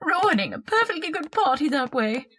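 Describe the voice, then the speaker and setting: A haughty, high-voiced hostess wife in a vintage-style radio horror play. She scolds and declaims theatrically, her voice swinging widely with snobbish indignation. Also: very animated, normal speed, fairly bright tone. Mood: scornful, aggrieved. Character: shrill, imperious, snobbish wife and party hostess. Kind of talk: old-time radio horror acting.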